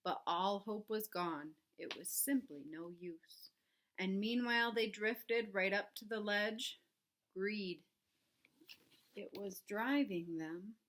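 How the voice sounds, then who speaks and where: A woman reading a picture book aloud for young children, expressive and dramatic, with chatty asides to her listeners. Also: mid-range voice, takes it slowly. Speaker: children's read-aloud presenter. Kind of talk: animated storybook read-aloud for young children.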